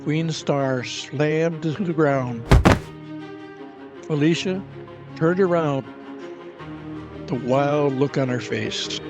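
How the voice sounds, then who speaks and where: A man delivers dramatic scripted narration for an audio adventure. He speaks slowly in a slightly high male register, with clear music running behind him. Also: highs cut off. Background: cinematic music.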